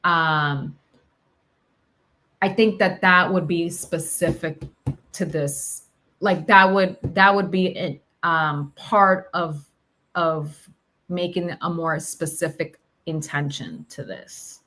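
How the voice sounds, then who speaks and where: A woman's voice: low voice, slow, pushed and bright. A woman talking reflectively in conversation, hesitant and searching for her words. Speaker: podcast host.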